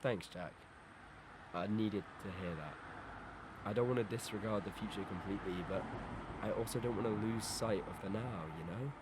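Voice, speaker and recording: A man's voice: lowish voice, moderate pace, background noise, natural voice. A man playing a sincere, reflective teenage boy in an audio drama. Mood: sincere, thoughtful. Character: Peter, wistful teenage boy attached to his best friend.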